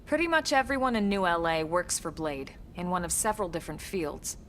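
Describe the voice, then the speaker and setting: An actress playing a composed, no-nonsense female military officer in a video game, speaking in a crisp, measured, dry way. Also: fairly low pitch, lively intonation, background noise, natural voice. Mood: measured, explaining. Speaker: voice actor. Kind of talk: scripted video game cutscene acting.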